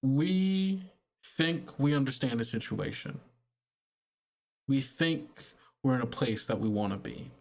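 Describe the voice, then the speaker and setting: A man voicing a gentle, persuasive woman in a tabletop game, speaking in a folksy, halting, consoling way. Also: medium pitch, expressive. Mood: earnest, persuasive.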